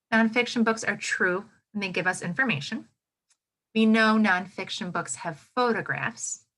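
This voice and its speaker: Female elementary teacher, read-aloud for kids: bright tone, lively swooping intonation, instructive, frequent check-in questions. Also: a little high. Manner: expressive, instructive, checks in with "right?". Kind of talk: read-aloud lesson for young children.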